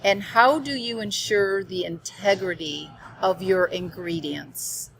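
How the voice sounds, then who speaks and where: A woman hosting a podcast interview in a restaurant, brisk and upbeat as she steers the talk. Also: lowish voice, creaky, fairly bright tone, slight background noise, poor audio.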